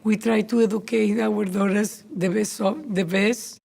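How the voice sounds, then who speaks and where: A Colombian woman with a Spanish accent, a mother speaking halting, simple English in a documentary interview. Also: medium pitch, steady tone, gravelly. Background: soft background music.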